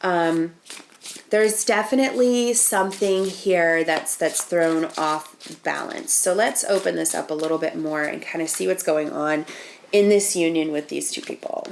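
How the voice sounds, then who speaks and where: A woman's voice: low voice, unhurried, gravelly, slight background noise. Sniffly, nasal woman reading tarot. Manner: chatty, many ums and restarts, talking through each card. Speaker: tarot reader and channel host. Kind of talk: casual tarot reading to camera.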